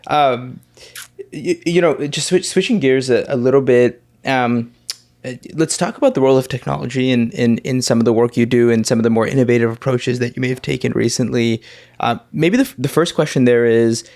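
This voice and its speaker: A man's voice: mid-range voice, a little fast, a little mellow. Hesitant man hosting an interview. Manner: hesitant, frequent ums and restarts. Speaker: podcast host. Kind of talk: interview questions.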